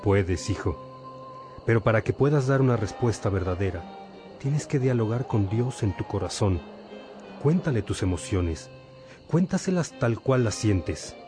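An actor playing a calm, fatherly bishop who instructs a novice in a measured way in a religious radio drama. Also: on the low side, music in the background. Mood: gentle, instructive.

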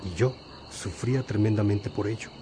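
A man's voice: on the low side, normal speed, mellow, slight background noise, close to an everyday voice. An actor playing a wise, patient older priest who teaches his disciples reflectively in a religious radio drama. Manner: reflective, didactic.

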